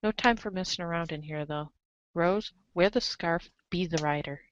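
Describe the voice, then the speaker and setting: A woman's voice: fairly low pitch, normal speed, lo-fi sound. A woman reading a webcomic script aloud as a reader's theater performer, with emphatic, playful exasperation. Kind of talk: animated dramatic reading of a webcomic, in second person.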